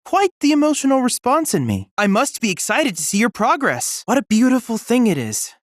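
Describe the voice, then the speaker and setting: A bookish android kid from a video game, super formal n polite, bouncy pitch, really dry close sound. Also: male voice, huge pitch swings, fairly quick, no room sound, heavily acted. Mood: eager, warm. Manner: formal, precise, courteous, earnest diction. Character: polite, bookish, eager young android boy researcher. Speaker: video game research assistant character. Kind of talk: scripted video game character dialogue.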